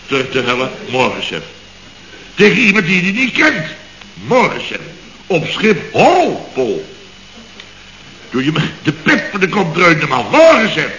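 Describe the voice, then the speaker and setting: A blustering company boss rants and sarcastically mimics his staff. His voice is sharp and bright, a little high. It sounds like a roomy, dull old radio comedy recording with faint noise. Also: huge pitch swings, a little slow, pauses often, exaggerated voice. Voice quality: sarcastic, ranting, mimics others mockingly. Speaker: boss of a building firm. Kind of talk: 1960s radio comedy acting.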